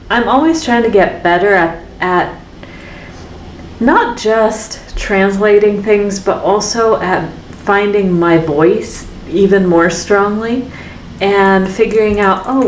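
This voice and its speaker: A woman's voice: medium pitch, takes it slowly, recorded from a distance, slight background noise. A woman artist hosting a painting vlog, talking casually and reflectively while she mixes paint in her studio, with lots of fillers and little tag questions. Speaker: artist and channel host. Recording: echoey room, voice off-mic.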